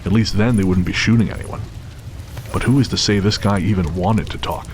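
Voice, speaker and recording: A man's voice: sits low, brisk, a little mellow, slight background noise, close to an everyday voice. A man reading as the grave storyteller of a suspense audio drama, in scripted dramatic narration. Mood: grave, suspenseful.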